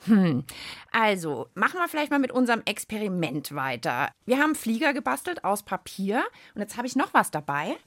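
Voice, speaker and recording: A woman's voice: medium pitch, very animated, moderate pace, fairly bright tone. A young woman hosting a children's podcast, chatting playfully and explaining a hands-on experiment to kids. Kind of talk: casual hands-on science chat for kids.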